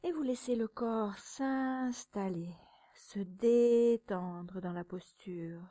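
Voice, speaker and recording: A woman teaching yoga nidra, guiding a meditation in a calm, measured, soothing way, with a soft, sometimes breathy voice and pauses between short phrases. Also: a little high. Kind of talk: guided meditation.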